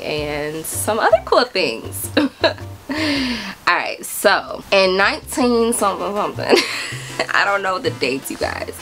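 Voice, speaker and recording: A young American woman chatting casually to camera in a vlog, bubbly and giggly with lots of fillers. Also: low voice. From United States. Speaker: vlogger.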